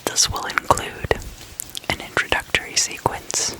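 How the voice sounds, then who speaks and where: Very slow, bright ASMR whisper. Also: low-quality recording. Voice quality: breathy unvoiced whisper. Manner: slow, halting, instructional phrasing. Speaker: guided meditation host. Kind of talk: whispered ASMR guided meditation.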